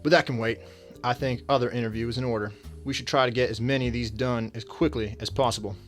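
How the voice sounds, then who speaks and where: An actor playing a weary, businesslike detective in a radio drama, firm and matter-of-fact. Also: male voice, medium pitch, fairly even intonation, fast talker, soft background music, lightly acted. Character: weary, businesslike male detective questioning suspects.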